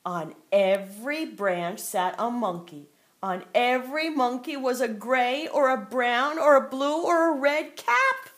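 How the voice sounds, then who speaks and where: An older woman, a grandmother, reading a picture book aloud to her grandchild with playful, expressive asides. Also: a little high, a little slow. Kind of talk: storybook read-aloud.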